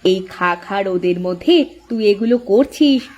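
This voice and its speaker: A woman's voice: medium pitch, animated, normal speed, a little mellow, lo-fi sound, natural voice. A woman voicing a protective, hardworking elder co-wife in a village cartoon, speaking in a firm, motherly, advising way. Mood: caring, firm. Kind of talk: cartoon voice acting.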